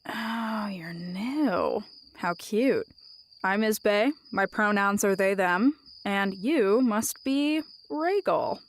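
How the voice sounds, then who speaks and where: A woman's voice: mid-range voice, expressive, slow. A woman playing a sassy, laid-back nonbinary human greeter in a vampire audio drama, teasing and breezily patronising. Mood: teasing, breezy.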